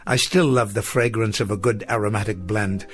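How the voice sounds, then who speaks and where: An actor playing an elderly, genial, old-fashioned professor in a radio drama, speaking in a wordy, courtly, hesitant way. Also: male voice, lowish voice, steady tone, normal speed, close to an everyday voice. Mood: genial, polite. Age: older. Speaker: veteran teacher.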